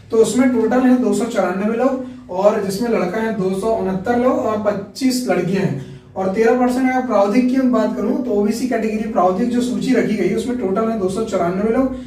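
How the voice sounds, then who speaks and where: A man's voice: sits high, a little mellow. A man explains things informally as a coaching presenter, repeating himself and often checking in with listeners. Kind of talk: informal explanatory lesson for viewers. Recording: very echoey room, distant mic, faint noise.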